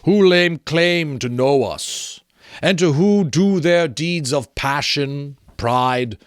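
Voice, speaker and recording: A man plays a gigantic ghostly spirit from a storybook, stern but benevolent. He declaims very slowly and emphatically, pressing on each word in a dry, close recording. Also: fairly high pitch, no room sound. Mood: indignant, solemn. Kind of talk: dramatic storybook reading.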